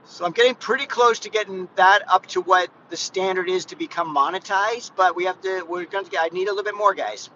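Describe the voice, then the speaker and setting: A man's voice: high-pitched, fairly quick, few pauses, bright, forceful tone, muffled recording. Rambling man in his fifties, driving. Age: 50s. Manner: rambling, chatty, frequent restarts and "guys". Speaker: fitness vlogger. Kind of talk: casual live vlog while driving. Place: inside a moving car. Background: faint car and road noise.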